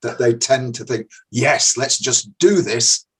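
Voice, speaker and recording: Older male, husky, medium register, theatre actor in relaxed interview conversation. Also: mid-range voice, takes it slowly. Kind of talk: casual interview anecdote.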